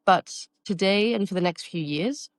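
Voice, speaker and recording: A woman's voice: medium pitch, moderate pace, dry recording. A woman in her thirties who grew up in France, with Taiwanese as her first language, answering interview questions reflectively with fillers and restarts. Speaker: marketing consultant and guest.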